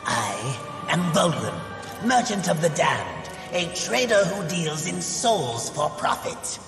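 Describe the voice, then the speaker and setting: A man's voice: a little high, big pitch swings, takes it slowly, creaky, heavily acted. A man playing a sly male demon merchant of souls in a video game, with an oily, theatrical, flowery delivery. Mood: salesman's flourish. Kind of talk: video game character acting. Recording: echoing hall, music behind.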